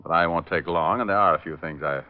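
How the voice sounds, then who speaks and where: An actor playing a cool, wry private detective in an old radio drama, with a casual deadpan delivery. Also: male voice, low-pitched, fast talker, lightly acted. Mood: businesslike. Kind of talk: 1940s-50s radio detective acting. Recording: dull old-time radio recording.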